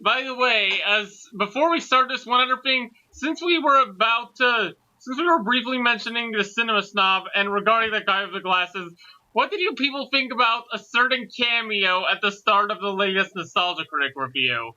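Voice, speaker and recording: Casting: man with high, piercingly bright voice, slangy rambling enthusiast in casual chat, lo-fi audio. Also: moderate pace. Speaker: co-host.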